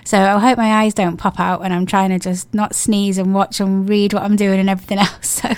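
A woman with an English accent presenting a radio show, her voice croaky and hoarse from a cold, chatting in a gushing, rambling way. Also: medium pitch, little pitch movement, brisk, darkish tone, no echo. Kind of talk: casual radio chat.

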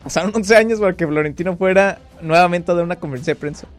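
A Mexican man with a Mexican Spanish accent chats about football, blunt and opinionated. His voice sits a little high and he breaks in with short remarks. Faint music plays underneath. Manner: opinionated, quick short interjections. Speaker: sports talk co-host. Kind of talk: casual football chat.

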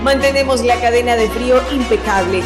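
A woman's voice: on the high side, brisk. A woman reading a scripted commercial in a polished, promotional way. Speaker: commercial announcer. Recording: reverberant with music bed.

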